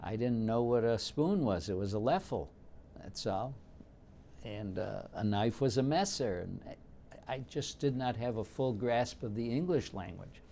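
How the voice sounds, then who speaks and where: Gravelly-voiced older man reminiscing. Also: medium pitch, animated, warm tone, slight background noise. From Detroit, United States. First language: Yiddish. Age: 70s. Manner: reminiscing, unhurried, with frequent fillers. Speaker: interviewee. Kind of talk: oral history interview.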